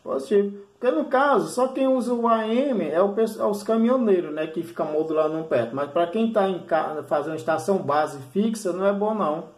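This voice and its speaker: A man's voice: high voice, brisk, hardly any pauses. A man in casual radio chat, explaining things colloquially and restating his points. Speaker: amateur radio operator. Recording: echoey room recording.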